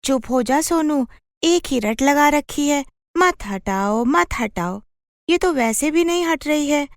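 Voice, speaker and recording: A dutiful young girl acted by a woman with a high, animated voice, recorded dry. She is the earnest, easily exasperated big sister of a children's cartoon, quick to protest. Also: no echo, exaggerated voice. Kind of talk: children's cartoon story voice acting.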